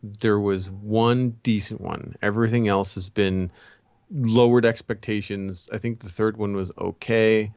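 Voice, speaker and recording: A man's voice: fairly low pitch, steady tone, measured pace, a little mellow, no room sound. A man co-hosting a casual pop-culture chat, talking in a rambling, opinionated way. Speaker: podcast co-host.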